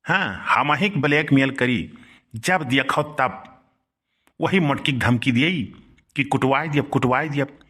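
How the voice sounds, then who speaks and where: A man's voice: mid-range voice, measured pace, long pauses, pushed and bright, breathy edge. A man playing a middle-aged village uncle in a comic cartoon, grumbling and rustic, with an Awadhi accent. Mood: grumbling, resigned. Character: scolding village uncle, easily blackmailed, henpecked and wheedling.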